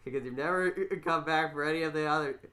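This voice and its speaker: A man hosting a casual podcast, talking in a rambling, halting way and often repeating his phrases. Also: fairly high pitch, sharp tone. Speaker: podcast host.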